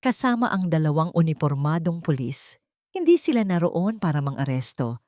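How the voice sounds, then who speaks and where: Low-voiced woman narrating a dramatic story. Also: a little mellow, no echo, studio quality. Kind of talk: scripted melodramatic story narration.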